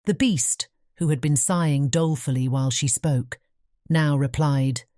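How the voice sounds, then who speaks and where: A woman narrating a fairy tale audiobook in a measured bedtime storytelling manner. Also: sits low, dark tone, no echo, clean studio sound.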